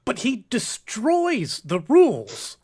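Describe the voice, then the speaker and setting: A man's voice: very high voice, wildly swooping pitch, slow pace, noisy background, full character voice. A man playing a scheming, by-the-book space captain in a comic radio play, stammering and theatrically flustered. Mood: exasperated, flustered.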